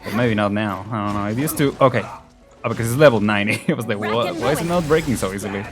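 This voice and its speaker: A man's voice: lowish voice, fast talker, over music. A man hosting a gaming video, chatting casually and often chuckling between remarks as he plays. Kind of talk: casual let's play commentary.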